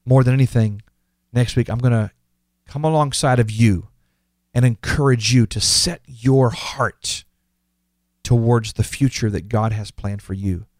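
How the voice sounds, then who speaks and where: A man's voice: mid-range voice, measured pace, darkish tone, no room sound. Host, male, 50s: earnest motivational podcast monologue, emphatic repeats, dry close mic.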